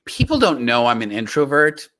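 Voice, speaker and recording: Bright-voiced Texan poet man. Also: medium pitch, moderate pace. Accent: Texan Southern. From Texas. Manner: dramatic, rising intensity; animated, playful chat. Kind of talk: spoken word poetry performance.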